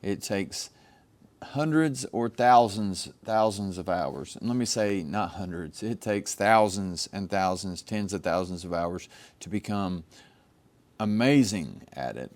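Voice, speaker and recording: A man with a rough, somewhat low voice talks through his engraving gear in a relaxed, rambling way. He wanders and restarts his sentences, filling the gaps with ums. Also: measured pace. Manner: meandering, with frequent ums and restarts. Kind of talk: casual show-and-tell explanation. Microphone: microphone.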